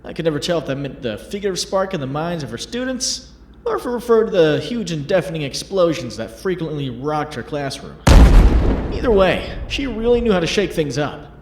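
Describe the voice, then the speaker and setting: A man acting as a wry young superhero in a comic dub, self-deprecating and heartfelt. The voice is slightly high and swings freely in pitch, over faint background noise. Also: big pitch swings, a little fast. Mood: amused, fond.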